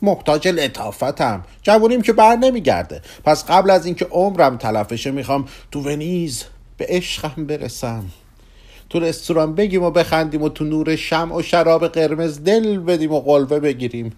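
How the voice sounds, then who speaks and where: A man's voice: on the high side, lively intonation, darkish tone, pitched above the performer's own voice, close to an everyday voice. A man voicing a restless middle-aged male professor who craves romance, whiny and pleading.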